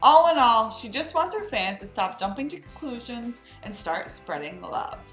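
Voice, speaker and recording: A woman hosts an entertainment news recap in a high, very lively voice. Her scripted delivery is chatty and emphatic. The sound is roomy, with music underneath. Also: normal speed. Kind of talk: scripted gossip recap narration.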